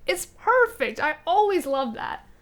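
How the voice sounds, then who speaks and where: A woman's voice: very high voice, sing-song, a little slow, a crowd in the background. A woman co-hosting a podcast, chatting casually about video games in an enthusiastic, rambling way. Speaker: podcast co-host.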